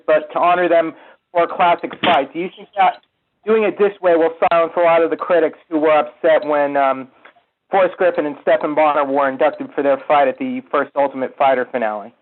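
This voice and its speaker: Polite higher-pitched male reporter on phone. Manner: halting, polite. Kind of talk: question on a media call. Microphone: telephone. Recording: dull conference call phone line.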